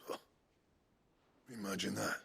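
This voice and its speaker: An actor playing a proud, stubborn older master blacksmith in a video game, gruff and raspy. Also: male voice, deep voice, little pitch movement, a little slow, bright, forceful tone, a little hiss or hum, heavily acted. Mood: earnest, stubborn. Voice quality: raspy, gravelly. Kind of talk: dramatic video game dialogue.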